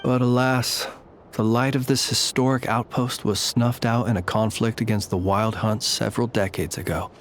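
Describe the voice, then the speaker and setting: A man voicing a calm, courtly lightkeeper in a video game, speaking in formal, measured, old-fashioned phrases. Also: medium pitch, a little hiss or hum, natural voice. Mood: grave.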